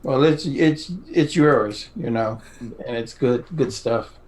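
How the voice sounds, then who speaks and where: A man's voice: medium pitch, takes it slowly, darkish tone, low-quality recording. A man co-hosting a podcast, talking in casual interview chat. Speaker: podcast co-host.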